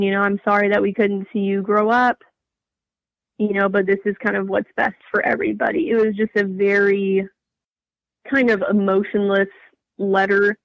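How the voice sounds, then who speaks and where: Young woman chatting as a guest, lots of vocal fry, kinda dull lo-fi audio. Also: mid-range voice, dry recording. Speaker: interview guest. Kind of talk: casual personal storytelling.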